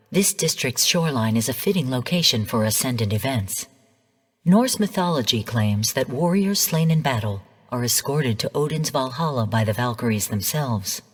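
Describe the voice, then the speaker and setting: An actress playing a composed, coolly commanding artificial intelligence who guides missions in a video game, speaking in formal, measured briefings. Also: female voice, very deep voice, darkish tone, breathy edge, long reverb tail, exaggerated voice.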